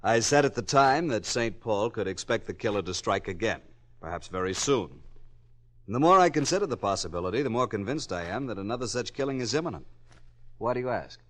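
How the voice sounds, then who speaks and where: Low-voiced, assured learned professor. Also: male voice, animated, fairly bright tone. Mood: assured, grave. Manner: formal, deliberate, certain. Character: assured, learned male university psychology professor. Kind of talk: old-time radio drama acting.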